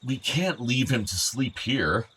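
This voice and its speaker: A man's voice: mid-range voice, animated, takes it slowly, a bit bright, husky, heavily acted. An actor playing an older, weary, worried father pleading with his troubled son, in a naturalistic radio play. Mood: worried, insistent.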